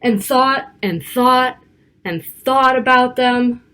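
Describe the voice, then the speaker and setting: Higher-pitched woman reading aloud to children. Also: takes it slowly, low-quality recording. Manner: child-directed, expressive, stretching key words and pointing out pictures. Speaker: storyteller reading a picture book aloud to children. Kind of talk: read-aloud storytelling with casual asides.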